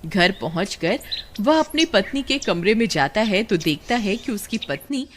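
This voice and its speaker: A woman's voice: mid-range voice, lively intonation, unhurried, slight background noise. A woman narrating a scripted story as a storyteller. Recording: loud music under the voice.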